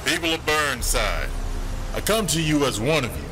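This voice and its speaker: A man's voice: mid-range voice, big pitch swings, normal speed, bright, forceful tone, slight background noise. A man playing an earnest city official proud of his humble roots in an audio drama. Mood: rousing. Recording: reverberant sound with faint music.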